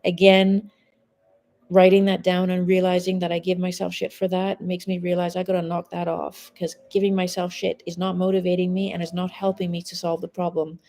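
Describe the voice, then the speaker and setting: Woman in her 40s chatting on a live stream from home, kinda low mellow voice, blunt, curses a bit. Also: flat delivery, brisk. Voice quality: frank, conversational, swears casually. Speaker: mindset coach. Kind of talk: casual live-stream monologue.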